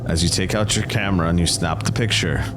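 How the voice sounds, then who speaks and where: Deep-voiced man narrating tabletop roleplay over music. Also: fairly even intonation, fast talker. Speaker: game master. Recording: music under the voice.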